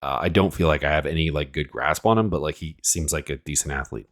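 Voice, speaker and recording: A man's voice: very deep voice, quick pace, a bit bright. A man with a cold, sniffling as he rambles through casual, opinionated fight predictions full of fillers. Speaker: MMA analyst host.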